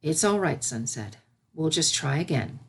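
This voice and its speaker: Lady doing a calm royal mare teacher, lowish voice, soft measured, cartoony. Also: husky. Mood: patient. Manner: gentle, measured instruction. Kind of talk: cartoon fan fiction character reading.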